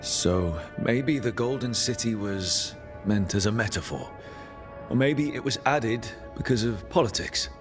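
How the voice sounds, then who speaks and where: An actor playing a steady, reassuring fantasy party leader in a video game, speaking in a measured, earnest way. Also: male voice, on the low side, music in the background.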